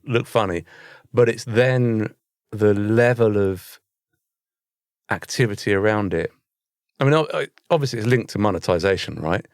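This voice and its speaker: A man's voice: fairly low pitch, dry recording, clean studio sound. A British man co-hosting a podcast in casual banter, bemused and rambling as he searches for words. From United Kingdom. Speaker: podcast co-host.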